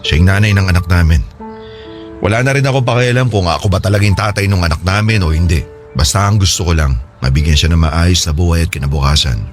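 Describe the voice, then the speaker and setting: A man playing an earnest, regretful separated husband and devoted father in a radio drama, speaking in a confiding, hesitant way. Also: extremely low pitch. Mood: somber. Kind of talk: Filipino radio drama dialogue.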